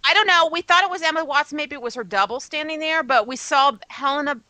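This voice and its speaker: A woman delivers casual fan news as a podcast presenter. Her voice is on the high side and forceful, with an enthusiastic, effusive manner. The sound is close and dry. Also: quick pace, dry recording. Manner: gushing, enthusiastic.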